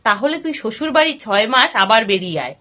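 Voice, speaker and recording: A woman acts out a patient mother of a grown son in a storybook tale. She coaxes affectionately in a high, bright voice. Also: sing-song, a little fast. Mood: quietly hurt.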